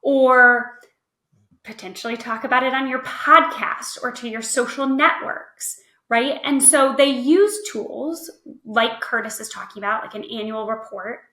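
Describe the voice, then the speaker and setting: A woman's voice: a little high, measured pace, fairly bright tone. A woman guest on a podcast, explaining things conversationally and often checking in with the listener. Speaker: podcast guest, nonprofit communications expert. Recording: roomy, echoey voice track.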